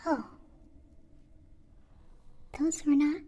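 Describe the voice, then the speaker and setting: A woman voicing a gentle, polite alien in an ASMR roleplay, half-whispering in halting, formal phrases. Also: high voice, fairly even intonation, voice pushed up. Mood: curious. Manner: halting, formal, stilted phrasing without contractions. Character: gentle, polite young alien, grateful new friend.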